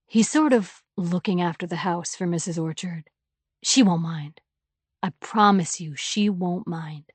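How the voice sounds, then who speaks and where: A woman voicing a tired, gentle middle-aged father who speaks softly and hesitantly in a storybook reading. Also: fairly low pitch, unhurried, breathy edge, dry recording. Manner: soft, soothing, hesitant.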